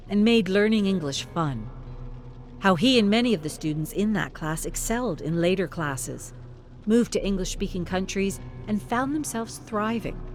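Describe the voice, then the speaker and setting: A narrator reading a scripted dramatic story. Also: medium pitch. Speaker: narrator of game lore logs. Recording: music behind the voice. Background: music.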